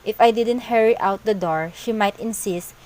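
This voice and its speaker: A woman's voice: medium pitch, moderate pace, a little mellow, lightly acted, poor audio. A young woman voicing a weary, self-doubting working student in an amateur cartoon, with a plain read-aloud delivery. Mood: tired, polite. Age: young adult. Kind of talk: amateur animated cartoon dialogue.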